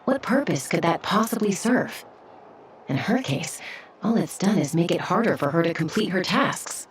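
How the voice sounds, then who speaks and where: A woman playing an earnest, questioning young traveler in an anime game, gently probing. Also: fairly low pitch, lively intonation, normal speed, far from the mic, a little hiss or hum, lightly acted.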